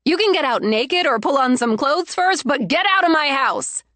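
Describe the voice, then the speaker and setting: A woman voicing a furious, betrayed young woman who shouts in biting, raised tones. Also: lively intonation, normal speed, no echo. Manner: shouting, biting, loud and high.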